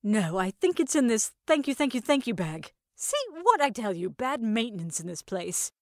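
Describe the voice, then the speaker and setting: A woman's voice: a little high, big pitch swings, moderate pace, no room sound, clean studio sound. A woman voicing a brash, irritable female shopper in an audio story, blunt, exclaiming and exasperated.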